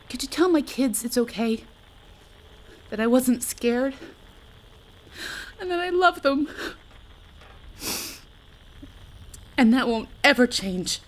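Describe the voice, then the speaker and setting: Blunt, high-voiced stranded astronaut mother. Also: animated, takes it slowly, pauses often, a little hiss or hum, rough recording. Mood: tender, tearful. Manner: blunt, sweary, sardonic. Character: stranded female astronaut, a mother, angry, scared, bitter. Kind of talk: naturalistic audio drama acting.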